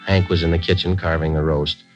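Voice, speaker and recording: An American actor playing a stoic police detective sergeant in a 1940s radio crime drama, speaking in terse, matter-of-fact, deadpan phrases. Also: male voice, sits low, a little fast, faint music behind, natural voice. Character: stoic, clipped police detective sergeant, narrating his cases. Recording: muffled old 1940s radio recording.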